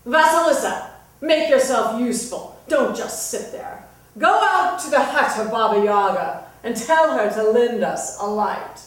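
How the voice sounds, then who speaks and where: A woman's voice: a little high, animated, unhurried, pitched above the performer's own voice. A woman voicing a cold, bossy wicked stepmother in a folktale, giving curt commands. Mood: scolding. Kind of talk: dramatic folktale storytelling. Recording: very echoey room, distant mic.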